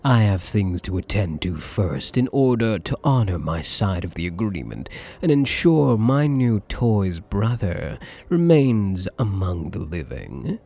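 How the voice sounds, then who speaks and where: A smug, possessive rich gambler, acted by a man, speaks in a breathy, husky voice for an intimate ASMR roleplay. He takes his time over every phrase, swooping widely in pitch as he teases. The tone is dark and suave, full of theatrical endearments. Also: sing-song, unhurried, few pauses, full character voice. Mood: smug, triumphant. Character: possessive, smug, wealthy male gambler doting on captive lover.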